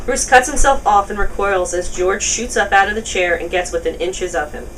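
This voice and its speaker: A woman's voice: mid-range voice, moderate pace, fairly bright tone. Woman narrating a script, distant mic. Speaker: narrator reading stage directions. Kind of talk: scripted narration at a table read. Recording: distant mic, noisy room.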